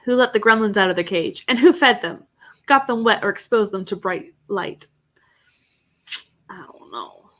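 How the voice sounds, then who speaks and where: Bright-voiced woman hosting a chatty stream. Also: medium pitch, moderate pace, pauses often. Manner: greets viewers by name, reads chat aloud. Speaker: livestream host. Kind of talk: casual livestream chat.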